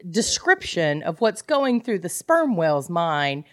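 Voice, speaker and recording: A woman's voice: fairly low pitch, very animated, measured pace, no room sound, studio quality. A woman co-hosting a book club podcast, casually retelling a plot in a jokey, salty-tongued way. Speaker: podcast co-host.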